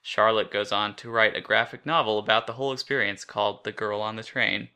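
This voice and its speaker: A man's voice: lowish voice, steady tone, brisk, sharp tone, a little hiss or hum. A man co-hosting a podcast, recapping and discussing a story in an informal way. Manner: informal, rambling, frequent "like". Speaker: podcast co-host.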